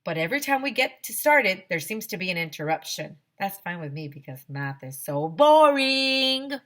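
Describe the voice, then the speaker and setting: An American woman, a teacher, reading a children's story aloud in an expressive way. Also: mid-range voice, normal speed. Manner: expressive, acting out dialogue.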